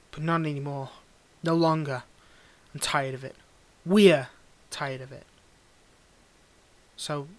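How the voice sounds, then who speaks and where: Casting: male actor as cold tsundere villain for audio roleplay. Slightly high male voice, domineering, condescending, intimate and theatrical. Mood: impassioned, conspiratorial. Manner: condescending, theatrical, swinging between ranting and hushed murmurs. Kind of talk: scripted audio roleplay monologue, intimate address.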